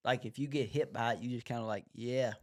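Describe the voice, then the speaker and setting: A man's voice: medium pitch, fast, no echo, studio quality. A man co-hosting a podcast, talking casually as he reacts to a TV show. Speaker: podcast co-host.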